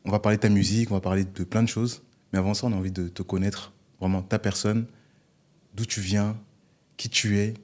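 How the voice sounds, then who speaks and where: A woman co-hosting a podcast, asking questions in a casual, informal way with quick reactions. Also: rumbling low, level tone, a little mellow. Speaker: podcast co-host. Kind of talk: casual interview chat.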